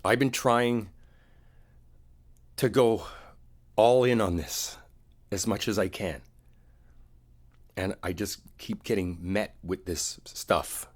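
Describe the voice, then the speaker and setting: A man acts out a frustrated boyfriend with a very low, husky voice. He speaks in halting, everyday phrases, as one side of an intimate audio roleplay argument with his partner. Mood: exasperated, defensive.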